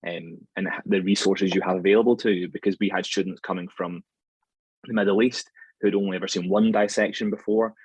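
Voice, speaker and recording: Scottish man, low husky voice, rambling with ums, guest on a podcast. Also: no room sound, rough recording. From Scotland, near Glasgow. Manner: relaxed, rambling, with frequent ums and "sort of". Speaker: anatomy lecturer, podcast guest. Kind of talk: conversational interview answers.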